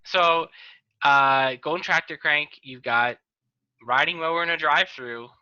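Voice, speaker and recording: Bright, forceful man on a lo-fi call. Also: on the high side, a little slow, clear, resonant tone, no room sound. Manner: dry, teasing wisecracks. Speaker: host. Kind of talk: casual chat over video call.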